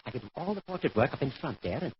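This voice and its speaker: An actor playing a fussy, excitable male photographer in 1940s radio style, fluttery, precious and effusive. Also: mid-range voice, rapid-fire, natural voice. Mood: eager. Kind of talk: 1940s radio comedy-drama acting. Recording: dull, noisy vintage radio recording.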